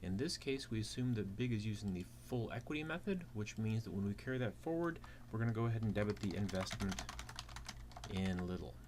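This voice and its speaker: A man's voice: fairly low pitch, expressive, brisk, a little mellow. A man giving an instructional walkthrough as a teaching assistant, explaining step by step with rhetorical questions. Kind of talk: instructional walkthrough of a worked problem. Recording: clear background noise with keyboard typing.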